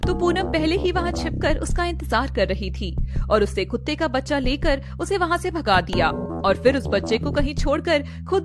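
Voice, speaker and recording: A woman narrator reading a scripted story in a dramatic style. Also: high voice.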